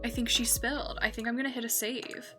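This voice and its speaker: A woman's voice: on the high side, flat delivery, brisk, bright, forceful tone, faint music behind. A young woman streamer chatting casually while building in a game, rambling and correcting herself with lots of fillers.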